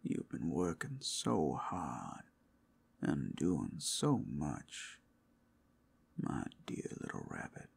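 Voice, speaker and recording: A man playing a big bad werewolf as a tender, protective lover in an intimate ASMR roleplay, crooning soothingly in a gravelly, breathy half-whisper. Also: extremely low pitch, huge pitch swings, drawn-out words, exaggerated voice. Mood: soothing, doting. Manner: soothing, crooning, coaxing with pet names.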